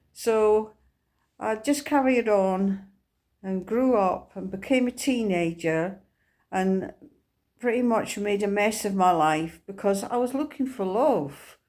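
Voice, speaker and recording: Slow-spoken Scottish woman sharing testimony. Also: medium pitch, a little mellow. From Scotland. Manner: earnest, unhurried storytelling. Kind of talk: personal faith testimony.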